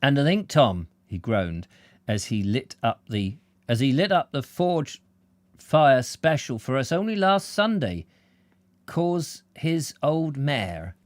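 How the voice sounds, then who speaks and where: A man voicing an excitable old rustic village man with a broad country dialect, roaring out his words. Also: medium pitch, slow, no echo, voice pushed up. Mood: groaning.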